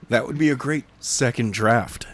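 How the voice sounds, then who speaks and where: A man's voice: mid-range voice, measured pace, a bit bright. A man co-hosting a podcast, discussing a story casually in a playful, slangy way. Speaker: podcast co-host and chapter narrator. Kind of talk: casual, joking book discussion.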